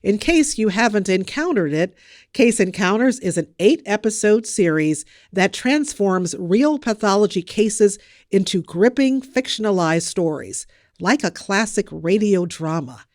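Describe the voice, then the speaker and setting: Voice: mid-range voice, animated, unhurried, dry recording. Scripted news podcast host, close dry sound.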